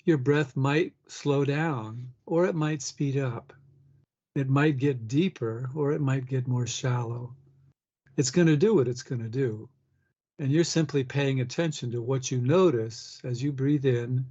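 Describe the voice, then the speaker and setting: Guy doing a guided meditation, unhurried, pauses between little phrases, average pitch, kinda dull lofi audio. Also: a little mellow. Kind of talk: guided meditation, gentle instruction.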